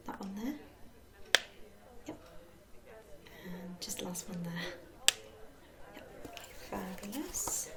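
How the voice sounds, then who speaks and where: British lady doing asmr receptionist roleplay, soft breathy whisper, gentle and reassuring, bit of people murmur behind. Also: mid-range voice. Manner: gentle, reassuring customer-service patter with endearments. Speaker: ASMR performer playing a club receptionist.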